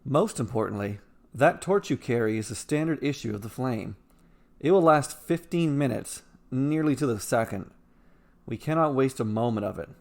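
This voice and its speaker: A man voicing a thoughtful, reluctant older adventurer in a fantasy story, explaining things in a measured way. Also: medium pitch, lively intonation, creaky, a little mellow. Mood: urgent reasoning.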